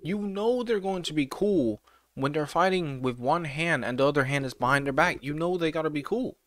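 A man's voice: on the high side, moderate pace, fairly bright tone, no echo. A young man giving casual, slangy, exclamatory reaction commentary as host of a video, close to the mic. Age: young adult. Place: small home room. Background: game trailer music.

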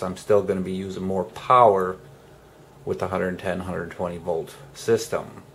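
A man giving a casual hands-on how-to, speaking haltingly in short phrases with pauses mid-sentence, as host of a DIY tutorial. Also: low voice, vocal fry, slight background noise.

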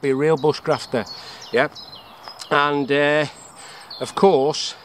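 A man's voice: on the high side, fairly even intonation, slow. A middle-aged English man with a jokey, chatty manner, showing his bushcraft gear outdoors and asking himself questions before answering them. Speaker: bushcraft vlogger. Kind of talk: casual outdoor demonstration. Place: woodland camp outdoors. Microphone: action camera on chest or tripod. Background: birdsong and faint outdoor noise.

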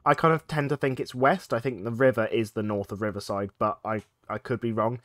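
A man narrating his own gameplay in a relaxed, rambling way. His nose is a little blocked from a cold, which gives his voice a stuffed-up sound. He talks into a desk microphone, mid-pitched and conversational. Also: brisk, no room sound, clean studio sound. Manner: chatty, thinks aloud while playing. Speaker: let's play gaming host. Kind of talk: casual gameplay commentary.

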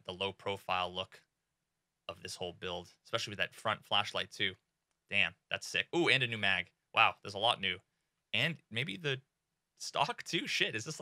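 A young man with a bright, sharp, rather low voice chats about game gear from a small home streaming room. He talks excitably, drops swear words and fills pauses with "uh". The sound is close and dry at a desk mic. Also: dry recording. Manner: excitable, swears freely, many fillers. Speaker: gaming streamer. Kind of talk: casual gaming commentary.

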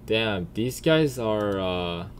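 A man's voice: fairly low pitch, animated, drawn-out words. A man giving blunt, informal reaction commentary as a gaming video creator, swearing casually. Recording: faint background noise, keyboard typing. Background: computer keyboard typing and clicks.

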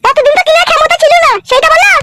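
A woman voicing a sharp-tongued, sassy, nagging village wife in a comic cartoon. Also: very high voice, racing, very noisy. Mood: nagging, mocking. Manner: bickering, taunting, sassy.

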